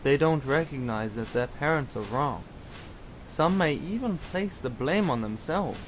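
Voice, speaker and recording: A man playing a grave, reflective young man, lecturing in a measured, explanatory way in an audio drama. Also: medium pitch, lively intonation, a little hiss or hum, natural voice, rough recording.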